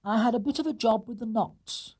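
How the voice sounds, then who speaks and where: A man's voice: very high-pitched, brisk, a little mellow, voice pushed up. A man voicing a polite, earnest young male bear in a children's story, with a childlike, apologetic manner.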